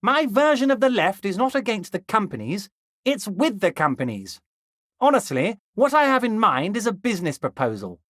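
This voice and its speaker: Man playing a scheming union boss, game character, folksy, wheedling, bouncy lively pitch, dry close sound. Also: moderate pace, no room sound. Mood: conspiratorial. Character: scheming jovial male union boss, middle-aged. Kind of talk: video game voice lines.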